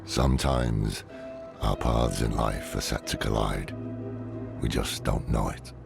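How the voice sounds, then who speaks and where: An actor playing a gruff, weary, sardonic old scholar-warrior in a dark fantasy video game, speaking dryly and deliberately. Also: male voice, fairly even intonation, heavily acted. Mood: solemn, reflective. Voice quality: deep, gravelly. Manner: world-weary, dry, deliberate. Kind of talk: video game cinematic acting. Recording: music behind the voice.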